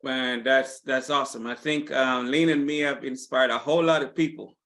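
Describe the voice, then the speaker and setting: A man's voice: medium pitch, fairly even intonation, normal speed, sharp tone. A man hosting an interview, affirming his guest and speaking with frequent ums and uhs. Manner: affirming, many fillers. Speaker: podcast host. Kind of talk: interview conversation.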